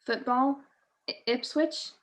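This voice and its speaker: A woman playing a quick-witted, wry amateur sleuth in a radio-style mystery, with a teasing manner. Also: high voice, fairly even intonation. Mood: wry, probing. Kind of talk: 1940s radio mystery acting.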